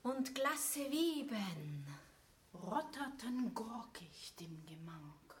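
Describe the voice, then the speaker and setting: A woman playing an eerie storyteller, reciting a creepy nonsense poem in a drawn-out, dramatic, often whispery way for an amateur audio play. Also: a little high, sing-song, exaggerated voice. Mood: eerie, hushed.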